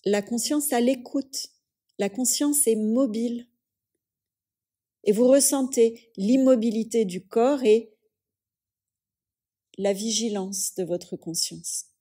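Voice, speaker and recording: A woman leading a guided yoga nidra relaxation as a teacher, speaking calmly and soothingly in measured phrases with long pauses. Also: a little high, breathy edge.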